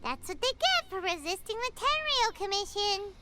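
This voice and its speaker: A woman voicing a tiny excitable fairy companion in a video game, squeaky and childlike with a sassy, bouncy manner. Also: normal speed. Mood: smug. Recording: game audio with loud music.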